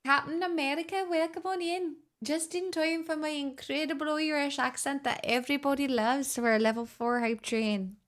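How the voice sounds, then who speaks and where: A woman's voice: high voice, fairly even intonation, moderate pace, few pauses. A woman in her twenties streaming live, chatting casually with joking, self-mocking banter. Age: 20s.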